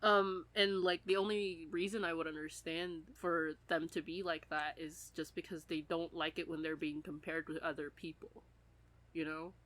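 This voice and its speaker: A woman co-hosting a podcast in a casual fan chat, animated and giggly, swearing freely. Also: low voice, moderate pace. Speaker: podcast co-host.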